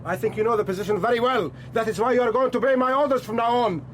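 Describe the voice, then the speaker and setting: A woman's voice: a little high, steady tone, rapid-fire, a little hiss or hum, close to an everyday voice. A woman playing a hard, commanding militant leader in a radio drama, curt and insistent. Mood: commanding, angry.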